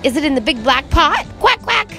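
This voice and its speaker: Lady putting on a chirpy girl duck for a storybook, polite, quacks a lot, squeaky high. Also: big pitch swings, moderate pace, bright, forceful tone, faint music behind, exaggerated voice. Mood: cheerful. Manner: punctuates speech with high quacks.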